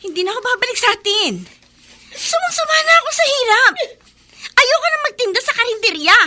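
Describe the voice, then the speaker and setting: An actress playing an ambitious, stubborn teenage daughter in a radio melodrama, earnest and insistent. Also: female voice, very high-pitched, very animated, brisk, bright, forceful tone, exaggerated voice. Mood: defiant. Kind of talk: melodramatic radio drama acting.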